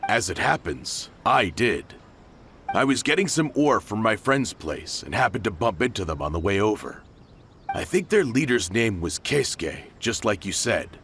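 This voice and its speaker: A man's voice: fairly low pitch, very animated, moderate pace, fairly bright tone, a little hiss or hum. An actor playing a matter-of-fact townsman in a game cutscene.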